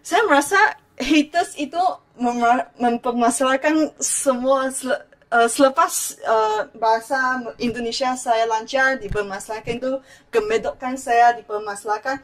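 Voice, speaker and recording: A young woman from Malaysia with a Malaysian accent, giving earnest vlog commentary and switching between languages as she talks. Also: on the high side. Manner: earnest, code-switching, often restarts words. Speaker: YouTuber.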